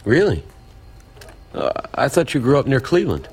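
An actor playing a mild, inquisitive male dinner guest in an audio drama, speaking politely and with quiet probing. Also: medium pitch, huge pitch swings, moderate pace, slightly rough, heavily acted. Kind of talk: naturalistic audio drama acting.

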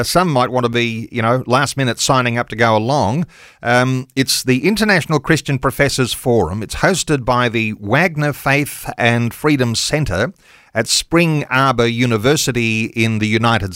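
A man's voice: medium pitch, a bit bright, no room sound, clean studio sound. A man hosting a radio talk show, chatty and upbeat as he interviews and gives announcements. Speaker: radio host.

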